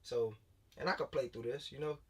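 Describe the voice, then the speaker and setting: A man's voice: mid-range voice, fairly even intonation, fairly quick, husky. A man in his late twenties talks through his football career in a loose, laid-back way, repeating himself and restarting sentences often. His voice sits in a middle register. He sounds a little distant from the microphone. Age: late 20s. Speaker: guest, former pro football player turned high school coach. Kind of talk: casual interview talk.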